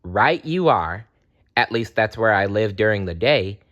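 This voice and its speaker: A man voicing a friendly, gentle male storybook bear who talks in a folksy, reassuring way. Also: fairly low pitch, animated, unhurried, highs cut off.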